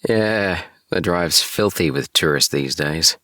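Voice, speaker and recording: A man reading an audiobook, voicing a gentle, mischievous forty-year-old man who talks mildly and a little hesitantly. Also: low-pitched, expressive, slow, no room sound, studio quality, exaggerated voice.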